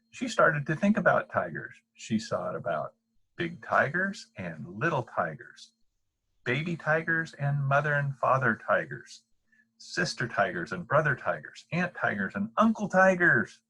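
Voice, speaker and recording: An older man reading a children's bedtime story in a deliberate, expressive narrating style. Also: medium pitch, rough recording. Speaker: storybook reader.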